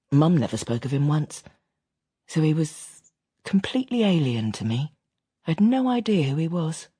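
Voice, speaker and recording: A man's voice: mid-range voice, warm tone, husky, no echo, clean studio sound. An actor playing a reflective grown son, confiding wistful memories of an absent father in an intimate radio drama monologue. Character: reflective adult son recounting his estranged father.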